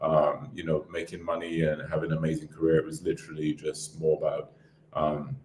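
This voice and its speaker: A man in his thirties from Barbados, a podcast guest telling his life story in a relaxed, rambling way with lots of fillers. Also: a bass voice, a little fast, roomy sound, a little hiss or hum. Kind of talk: casual interview chat.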